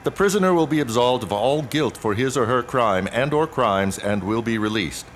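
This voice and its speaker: A man's voice: mid-range voice, lively intonation, moderate pace, a little hiss or hum, natural voice. A man playing a stern police lieutenant in an audio drama, speaking in a clipped, official, procedural way. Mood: formal, stern.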